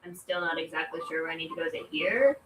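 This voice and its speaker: A woman's voice: deep voice, fast talker, noisy background. Congested woman chatting casually, echoey and distant. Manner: rambling, informal, filler-heavy "like". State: congested from allergies. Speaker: game streamer. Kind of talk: casual chat while gaming. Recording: echoey room, distant mic, loud music. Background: loud video game music.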